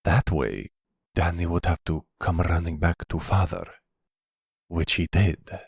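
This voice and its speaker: A man playing a timid, wounded young mobster son with a Russian accent, speaking haltingly and formally in an ASMR roleplay drama. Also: low voice, steady tone, gravelly, husky, no echo, clean studio sound, heavily acted. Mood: subdued, hurt. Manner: halting, formal phrasing without contractions.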